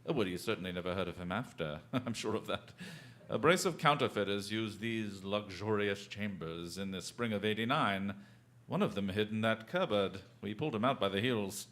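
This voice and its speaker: An actor playing a shrewd, commanding detective in a radio drama, speaking formally and deliberately with dry, theatrical mockery. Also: male voice, lowish voice, a bit bright, lightly acted. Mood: musing, sly. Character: shrewd, commanding male detective, coolly taunting and unflappable.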